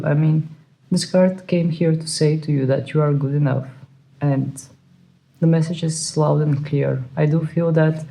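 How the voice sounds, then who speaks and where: A tarot reader who is not a native English speaker, hesitantly searching for words with many fillers and soft laughs. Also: medium pitch, gravelly, poor audio. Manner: soft laughs while talking. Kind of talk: pick-a-card tarot reading.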